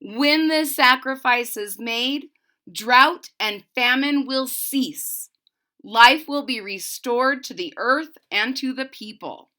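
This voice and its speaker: A woman's voice: high-pitched, slow, pushed and bright, pitched above the performer's own voice, exaggerated voice. A woman voicing a solemn male shaman who proclaims grave words in a storybook, with emphatic declamation. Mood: solemn, commanding.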